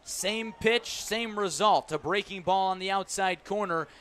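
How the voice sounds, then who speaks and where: A man's voice: sits high, measured pace, fairly bright tone, slight background noise. A man calling baseball play-by-play live on the radio from a ballpark, punching up the big moments. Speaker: play-by-play announcer. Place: outdoor ballpark broadcast.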